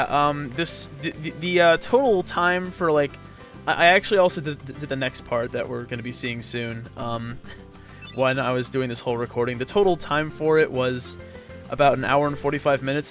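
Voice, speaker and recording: A man's voice: mid-range voice, fairly even intonation, moderate pace, fairly bright tone, faint music behind. A man giving casual, chatty, joking gameplay commentary in a rambling way, as on a let's play.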